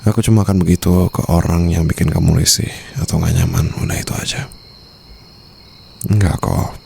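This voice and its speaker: A man's voice: low-pitched, fairly even intonation, normal speed, creaky, dark tone, a little hiss or hum. A man with an Indonesian accent playing a doting, possessive mafia boss husband in an intimate, teasing ASMR roleplay. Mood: reassuring, tender. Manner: teasing, coaxing, murmured endearments with English phrases mixed in.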